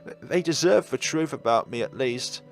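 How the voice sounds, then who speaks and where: A man's voice: mid-range voice, lively intonation, moderate pace, gravelly, soft background music, pitched above the performer's own voice. Man reading a worried student's thoughts. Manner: worried, self-doubting.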